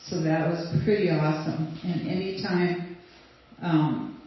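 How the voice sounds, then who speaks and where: Older woman, from sweden, slow chatty storytelling, distant dull recording. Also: on the low side, fairly even intonation, heavy reverb. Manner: rambling, chatty, light laughs and fillers. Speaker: guest speaker sharing memories. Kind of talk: casual storytelling to an audience.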